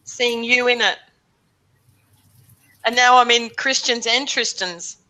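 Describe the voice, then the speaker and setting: Bright, excitable Australian woman. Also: on the high side, poor audio. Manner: excitable, thinking aloud with quick exclamations. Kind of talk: casual chat. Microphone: video call.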